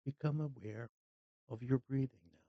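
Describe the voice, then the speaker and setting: A meditation guide speaking calmly and slowly in short, unhurried phrases with long pauses, leading a scripted relaxation. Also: medium pitch, dry recording, muffled recording.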